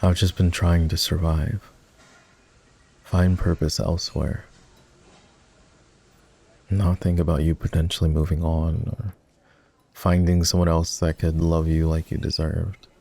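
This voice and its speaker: A man playing an ex-boyfriend turned boss in an intimate roleplay ASMR, talking in a halting, conversational way. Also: sits low, flat delivery, warm tone. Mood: regretful, confessional. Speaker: voice actor.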